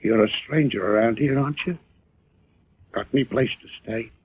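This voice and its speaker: An actor playing a mad, murderous barkeeper with a folksy, bitter manner and a husky voice in 1940s radio drama style. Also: male voice, medium pitch, moderate pace, no echo, close to an everyday voice. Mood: sly, confiding. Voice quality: husky, gravelly. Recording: dull old radio recording.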